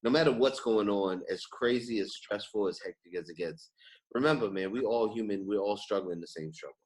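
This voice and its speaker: A man's voice: on the low side, fairly quick, darkish tone, low-quality recording. An American man hosting a podcast in casual, slangy talk, emphatic and often repeating his phrases. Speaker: podcast host. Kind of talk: casual chat, podcast sign-off.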